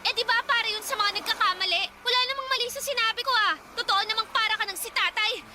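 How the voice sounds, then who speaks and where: A woman acting as a defiant, sharp-tongued teenage girl in a radio drama. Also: very high voice, quick pace, heavy background noise. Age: teens. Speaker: younger sister.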